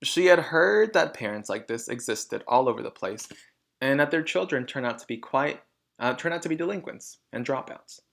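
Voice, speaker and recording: A man's voice: mid-range voice, expressive, moderate pace, vocal fry, a bit bright. A man narrating a children's storybook as a read-aloud. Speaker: storybook reader.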